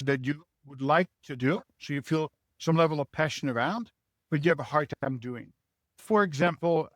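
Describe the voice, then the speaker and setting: Dutch guy on a podcast, a bit high voice, explains stuff earnestly, dry sound. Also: no echo. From Netherlands. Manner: earnest, explanatory, uses rhetorical "right?". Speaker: guest, leadership mentor. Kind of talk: podcast interview answers.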